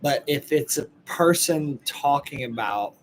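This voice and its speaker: A man's voice: on the high side, expressive, unhurried, a little hiss or hum, rough recording. Tired, blunt Texan man in his late twenties. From Texas, USA. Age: late 20s. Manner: blunt, rambling, swears freely. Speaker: podcast host reacting to a clip. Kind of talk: casual commentary.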